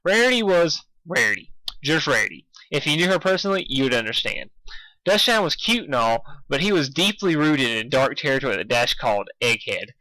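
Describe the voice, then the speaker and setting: A man's voice: medium pitch, big pitch swings, fairly quick, sharp tone, no room sound, rough recording. A man reading fan fiction aloud as informal storybook narration, sometimes stumbling and rereading a phrase.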